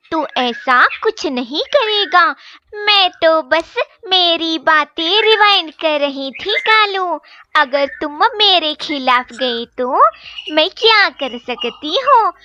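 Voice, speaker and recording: A woman voicing a bossy, aging mother crow in a children's cartoon, stern, deliberate and threatening. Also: very high-pitched, lively intonation, sharp tone, dry recording, heavily acted. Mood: smug, warning. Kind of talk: children's cartoon story acting. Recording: dull lo-fi sound, clear noise.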